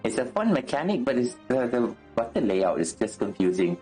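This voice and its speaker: A man chatting casually as a game streamer, halting and repeating himself as he searches for words, mildly exasperated. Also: mid-range voice, sing-song, mellow. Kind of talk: casual livestream chat while gaming. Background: music.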